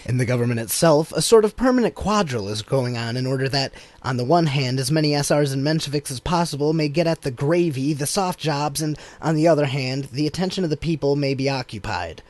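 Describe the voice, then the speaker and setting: A man reading a political book aloud as an audiobook, with measured, emphatic delivery. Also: on the high side, darkish tone, dry recording. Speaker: audiobook reader.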